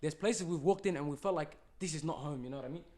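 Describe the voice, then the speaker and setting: A man's voice: on the high side, quick pace, a crowd in the background. A young man with an Australian accent making a persuasive case in a casual podcast chat. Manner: earnest, persuasive, builds arguments. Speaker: podcast host.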